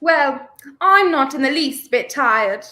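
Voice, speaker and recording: A young woman acts out a feisty, prim young heroine of a 1930s radio thriller. The voice is very high and swoops with emphasis, recorded in an echoing room. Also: expressive, slow pace, sharp tone, slight background noise, exaggerated voice. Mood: tart. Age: young adult. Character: feisty, indignant young woman, handcuffed unwilling companion. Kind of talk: 1930s radio play acting. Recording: roomy, echoing home recording.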